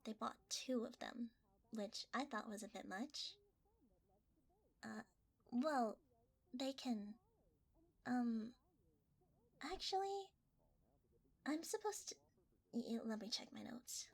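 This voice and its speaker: A woman playing a flustered, winded delivery worker in a hesitant, breathy ASMR roleplay. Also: on the high side, expressive, takes it slowly, pauses often, full character voice. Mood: chatty, evasive. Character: flustered, winded young blanket delivery worker, chatty and shifty.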